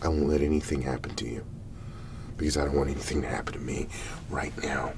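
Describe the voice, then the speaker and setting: A man playing a hesitant government agent in an ASMR roleplay, speaking in a soft, gravelly half-whisper with halting, reassuring delivery. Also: rumbling low, steady tone, background noise. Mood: earnest, reassuring. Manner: halting, deliberate, reassuring, with long pauses. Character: hesitant, placating male government agent negotiating with a farmer.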